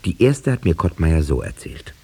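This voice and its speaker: Dark, husky, reflective village narrator. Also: male voice, low-pitched, very animated, exaggerated voice. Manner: measured, reflective storytelling. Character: male village narrator, sober, reflective, fond dog owner. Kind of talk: scripted narration in 1950s radio play.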